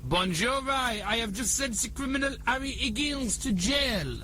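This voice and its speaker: Pompous high-pitched French detective. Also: male voice, lively intonation, a little slow. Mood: self-assured. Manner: pompous, theatrical. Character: pompous eccentric French male detective, obtuse and self-assured. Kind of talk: comic radio play acting. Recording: noisy recording with hum.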